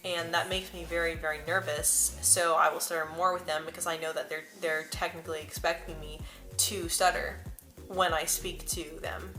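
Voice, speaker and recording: A young woman talks casually to camera, often stuttering on sounds and whole words. Her voice is bright and sits slightly low. Soft music plays faintly behind her. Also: level tone, moderate pace. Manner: earnest and personal. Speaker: vlogger. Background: soft background music.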